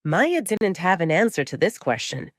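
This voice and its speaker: A woman narrates fiction in a dramatic, scripted style. Her voice sits slightly low for a woman and moves with animated intonation, recorded close and dry. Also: moderate pace, no echo. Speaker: audiobook narrator.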